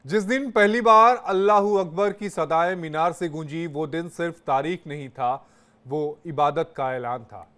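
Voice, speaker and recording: A man's voice: on the high side, a little slow, a bit bright. A man reading a scripted, dramatic narration for a news report.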